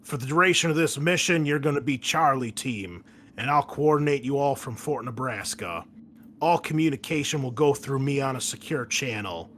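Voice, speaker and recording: A man's voice: mid-range voice, moderate pace, a bit bright, lightly acted. Man acting as hard-edged Marine officer, commanding, tense tone, swearing, emphatic, tabletop game briefing, faint noise. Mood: stern. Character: gruff foul-mouthed Marine commander barking mission orders.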